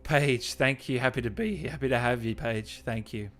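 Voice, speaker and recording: A man hosting a casual livestream, reading out viewer messages and thanking people with effusive, drawn-out, hyped shout-outs. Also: mid-range voice. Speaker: livestream host and channel owner. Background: music playing behind the voice.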